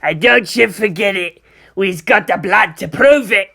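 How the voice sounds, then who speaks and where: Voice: medium pitch, unhurried, vocal fry, bright, forceful tone, exaggerated voice. A narrator voicing a blunt, crude, brutish ork war boss from a fan story. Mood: boisterous.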